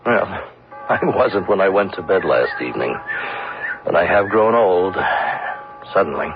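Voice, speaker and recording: An actor playing a despairing, wistful ruined businessman, musing haltingly in literary phrases in an old-time radio drama. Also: sits low, big pitch swings, full character voice. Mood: bitter, brooding. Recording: muffled vintage radio recording with music.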